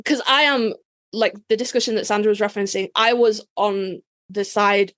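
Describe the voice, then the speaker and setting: A woman's voice: mid-range voice, steady tone, normal speed, a bit bright, no room sound, clean studio sound. A woman in a casual podcast conversation who talks hesitantly, often restarting and repeating words. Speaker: podcast participant.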